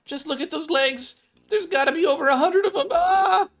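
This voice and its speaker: Someone putting on an excitable high-pitched young woman. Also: moderate pace. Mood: panicked. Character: excitable young woman survivalist, squeamish about bugs. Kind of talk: acted reading of video game dialogue.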